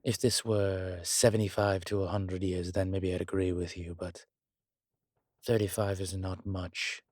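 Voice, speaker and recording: A man playing a long-lived demonology professor in an audio roleplay, calm, protective and gently wry, speaking in a measured, deliberate way. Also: low-pitched, dry recording, clean studio sound. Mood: wry, amused. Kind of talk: slow intimate audio roleplay acting.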